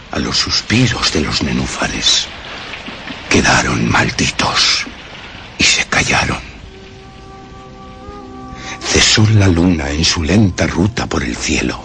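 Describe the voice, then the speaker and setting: A man's voice: low voice, very noisy, exaggerated voice. A man playing a brooding, sinister demon who narrates a horror radio play in a solemn, declamatory way. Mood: wrathful, grave.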